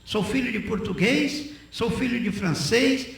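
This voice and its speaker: Older man, strongly echoey high voice. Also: a little slow, gravelly, a bit bright. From Northeast Brazil. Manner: repeats phrases, emphatic. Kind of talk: opinionated conversational radio talk. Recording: very echoey room.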